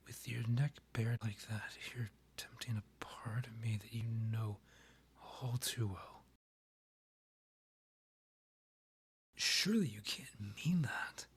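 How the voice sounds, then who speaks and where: A man playing a courtly, lovestruck vampire king in a romantic ASMR roleplay, half-whispering in a breathy voice with formal, pleading phrasing. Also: medium pitch, a little slow. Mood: pleading, tempted. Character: lovestruck male vampire king, courtly, yearning, tender suitor.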